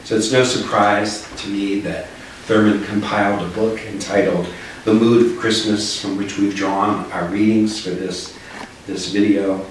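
Older male minister, low voice, reflective and deliberate, recorded at a distance in a very reverberant room. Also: fairly even intonation. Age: 60s. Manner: measured, solemn, deliberate phrasing. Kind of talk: reflective sermon, read from notes. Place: living room with small seated group. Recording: echoey living room, distant mic, faint noise.

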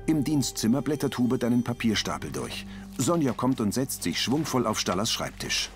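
A man's voice: medium pitch, very animated, normal speed, over music. A man reading terse scripted scene descriptions as an audio description narrator.

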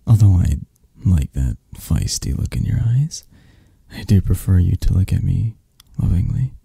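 A man voicing an obsessed, flirty, possessive male kidnapper in a hushed, halting ASMR roleplay. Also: low voice, sing-song, no echo, clean studio sound, pitched below the performer's own voice, full character voice. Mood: teasing, tender. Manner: hushed, halting, breathy-soft delivery.

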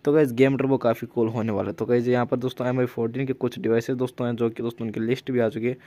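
A man's voice: mid-range voice, little pitch movement, rapid-fire, warm tone, dry recording. A man with an Indian accent hosting a casual tech news voice-over, often addressing viewers as friends. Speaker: tech channel host.

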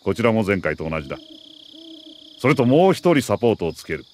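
An actor playing a middle-aged army major who briefs an agent in a crisp, authoritative, deliberate way in a video game. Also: male voice, fairly low pitch, very animated, darkish tone, slight background noise. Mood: grave, commanding. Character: male military major, mission commander, precise and earnest. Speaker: mission commander briefing an agent.

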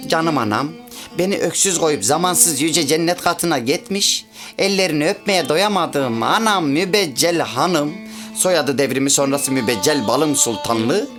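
A man's voice: on the high side, a bit bright. An actor in a comic radio play voicing a chatty, sentimental half-French man with a rural Central Anatolian Turkish accent and a pedantic streak. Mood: sentimental, proud. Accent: rural Central Anatolian Turkish, Çorum area. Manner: folksy, rambling, pedantic about grammar. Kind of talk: comic radio theatre dialogue.

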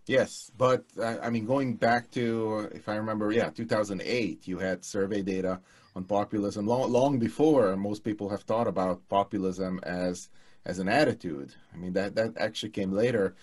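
A man's voice: fairly low pitch, fairly even intonation. Man on a video call. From Hungary. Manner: halting, many restarts and fillers. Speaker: political scientist, co-host. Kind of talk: casual academic conversation. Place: home studio room. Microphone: headset mic on video call.

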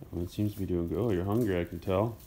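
A man's voice: sits low, steady tone, very fast, warm tone, a little hiss or hum. A man in his thirties casually narrating his farm vlog, rambling and correcting himself as he goes. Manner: easygoing, rambling, self-correcting. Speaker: farmer vlogger. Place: in a truck cab and a barn.

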